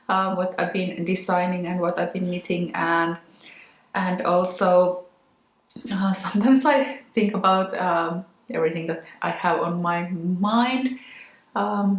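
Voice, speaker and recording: Slow-talking Finnish woman, hesitant. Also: fairly low pitch, echoey room. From Finland. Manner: hesitant, many ums and restarts. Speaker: knitwear designer and podcast host. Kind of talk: casual chat to camera.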